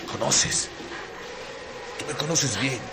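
A man's voice: medium pitch, slow pace, vocal fry. An actor playing a hard, intense man who gives his lover terse, insistent commands in a radio drama. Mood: intense, restrained. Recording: noisy radio drama with sound effects.

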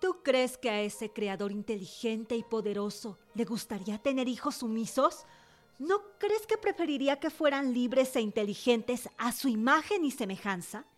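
A woman plays a cultured young revolutionary in a radio drama. Her voice is fairly high, assured and questioning, full of independent-minded resolve, with soft music behind it. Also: moderate pace, natural voice. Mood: intellectually keen.

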